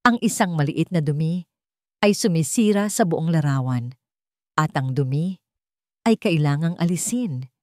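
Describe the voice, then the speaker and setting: A woman's voice: low voice, lively intonation, unhurried, long pauses, darkish tone, no room sound, studio quality. A woman with a Filipino accent voicing a haughty, strict perfectionist mother in an audiobook, cold and cutting in manner. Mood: cold sternness. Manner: cutting, stern.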